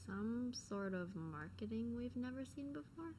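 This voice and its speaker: A woman's voice: fairly high pitch, lively intonation, a little slow, dark tone, a little hiss or hum. A woman giving casual, brief reactions as a game streamer.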